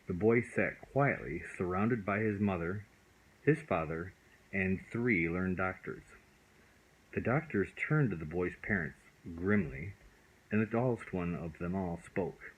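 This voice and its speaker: A man reading a children's bedtime story as a father, in a deliberate way with the odd stumble. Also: low voice, expressive, husky, narrow, tinny audio.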